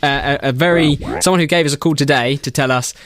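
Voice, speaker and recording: Matey young man, slightly high voice. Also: fast. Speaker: radio show co-presenter. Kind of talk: casual radio banter. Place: radio studio. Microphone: studio broadcast microphone.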